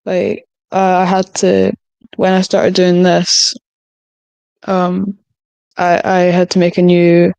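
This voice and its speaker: A woman chatting casually as a writer on a podcast, speaking in a hesitant, halting way. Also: lowish voice, completely flat delivery, gravelly, darkish tone, smooth, no room sound. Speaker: script writer, podcast guest. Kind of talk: casual chat.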